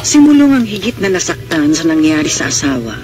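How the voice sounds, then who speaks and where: An actor as a solemn storytelling narrator with a Filipino accent, reading scripted classic radio drama narration. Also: male voice, fairly high pitch, very animated, a little fast. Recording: radio drama with music behind.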